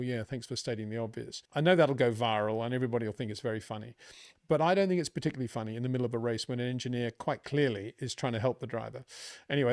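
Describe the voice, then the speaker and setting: A man's voice: mid-range voice, a little mellow, no echo, clean studio sound. A man giving unscripted opinion commentary on motorsport.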